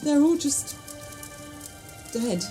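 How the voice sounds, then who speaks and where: A woman's voice: high-pitched, expressive, drawn-out words, over music, exaggerated voice. A woman playing an earnest, anxious, plain-spoken companion in an audio drama. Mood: grieving, questioning. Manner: emotional, exasperated, earnest.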